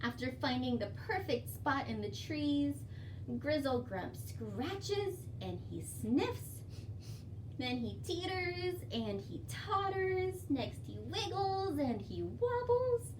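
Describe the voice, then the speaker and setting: A woman with a high voice reads and recites for young children in an expressive, sing-song storytime style. She takes her time over the words. The mic is a little distant, with faint noise behind her. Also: slow pace. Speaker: children's librarian leading storytime. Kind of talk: children's storytime with songs, rhymes and read-aloud.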